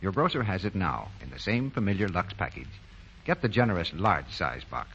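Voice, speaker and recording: Low, reverberant old-time radio announcer, man. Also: very animated, moderate pace, fairly bright tone. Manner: polished, emphatic sales delivery. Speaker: radio announcer reading sponsor advertisement. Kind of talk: 1940s radio commercial announcing. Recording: muffled reverberant 1940 radio recording, noisy.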